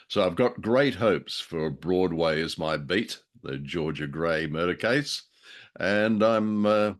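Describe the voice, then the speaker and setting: A man co-hosting a show, giving a wry, conversational introduction in a drawn-out delivery. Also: sits low, fairly bright tone. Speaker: podcast co-host.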